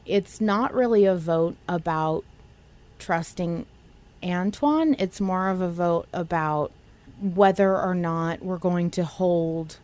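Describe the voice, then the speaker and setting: A woman's voice: on the low side, slow, a little mellow. A woman co-hosting a fan podcast, chatting casually and using slangy language. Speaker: podcast co-host. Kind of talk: casual fan discussion of an audio drama.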